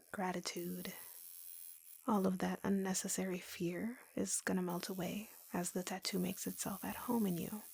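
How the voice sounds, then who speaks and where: A woman playing an obsessively lovesick young tattoo artist in an intimate roleplay audio, whispering gently with sweet, possessive menace. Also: fairly low pitch, heavily acted. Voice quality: breathy, whispery, creaky.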